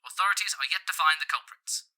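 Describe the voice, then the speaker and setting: A man's voice: a little high, fast talker, sharp tone. A man playing a formal news reporter heard over a radio, reading a scripted bulletin. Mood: serious, measured.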